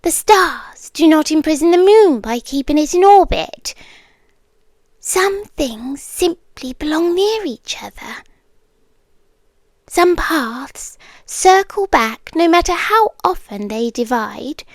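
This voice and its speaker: A British woman playing an obsessive female monster in an intimate ASMR roleplay, speaking in measured, formal phrases that often drop into whispers. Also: high-pitched, expressive, no echo, full character voice. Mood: quietly menacing. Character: obsessive yandere female monster with tail, claws and venom.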